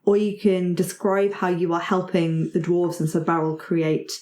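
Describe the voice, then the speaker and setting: A woman's voice: fairly low pitch, steady tone, moderate pace, dark tone, echoey room. A woman working as game master, narrating a tabletop roleplaying game and encouraging the players' ideas.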